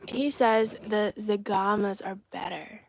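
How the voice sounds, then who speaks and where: A woman from China whose first language is Cantonese, chatting casually on a livestream into a phone, reading viewer comments aloud and often dropping to soft half-whispered asides. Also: medium pitch, steady tone, slow pace, creaky. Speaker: livestream host.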